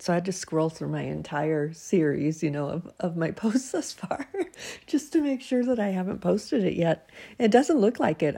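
Hoarse tired lady host rambling on, low gravelly voice, kinda husky. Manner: chatty, rambling, with soft whispered passages when reading. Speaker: podcast host. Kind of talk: casual chat and reading her own writing.

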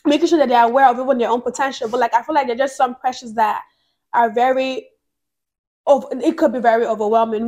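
A woman's voice: fairly high pitch, a little mellow. A young woman who grew up in Nigeria, talking casually in a discussion podcast, with many fillers and emphatic points.

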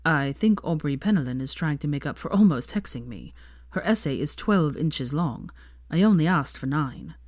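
A woman's voice: sits low, expressive, normal speed, darkish tone, no echo. A woman voicing a dry, scoffing young male teacher from a privileged family, drawling and teasing, in a story reading.

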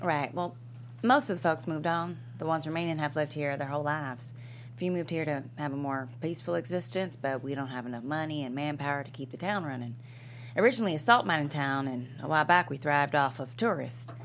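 An actress playing a plainspoken, patient small-town churchgoing woman, dry and gently teasing, in a comedy script read. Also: low-pitched, fairly quick. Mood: matter-of-fact. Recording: clear background hum and noise.

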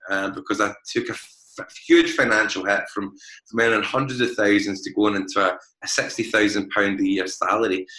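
A young man with a Glaswegian accent, talking frankly and deliberately slowly as a podcast guest. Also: on the low side, pushed and bright, echoey room, rough recording. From Scotland. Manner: frank, upfront, deliberately slowed speech. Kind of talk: candid podcast interview.

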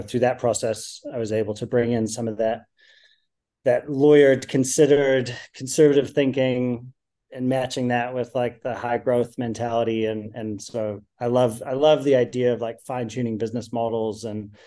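A man whose voice has a mellow, unforced tone and an American accent with a slight New Zealand tinge. He talks as a podcast guest: upbeat, chatty, and full of "um" and "uh" as he thinks aloud. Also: medium pitch. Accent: American with some New Zealand tinge. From United States. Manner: chatty, many "um" and "uh" fillers, upbeat. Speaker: startup founder guest. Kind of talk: casual podcast interview.

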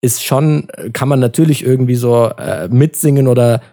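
A man's voice: mid-range voice, fairly even intonation, moderate pace, dark tone. A young man in a casual podcast chat, talking in a slangy, informal way.

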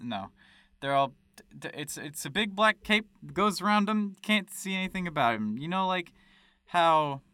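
A game master narrating a tabletop game, halting and restarting often. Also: mid-range voice, expressive, bright, forceful tone, no room sound.

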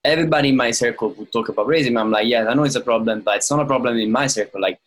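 A man's voice: medium pitch, very fast. Reflective man, casual chat. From lives in London. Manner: reflective, acts out quoted remarks. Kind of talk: casual conversation.

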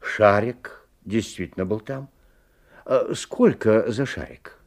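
An actor playing a bemused, observant father who narrates a magical story in a radio drama, with measured, expressive storytelling. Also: on the low side, gravelly, exaggerated voice. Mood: watchful, measured.

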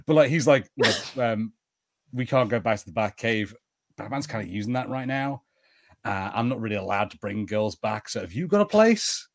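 A man's voice: lowish voice, animated, moderate pace. A man co-hosting a podcast, chatting casually over a movie and joking with made-up bits of dialogue. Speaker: podcast co-host. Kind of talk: casual watch-party banter.

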